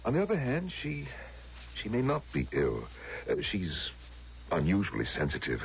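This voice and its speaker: An actor playing a calmly insistent, condescending husband in a vintage radio drama, with measured, hesitant coaxing. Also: male voice, sits low, creaky, exaggerated voice. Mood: coaxing, placating. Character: patronizing husband Willis, calmly insistent, soothing. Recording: dull vintage radio recording, faint hum.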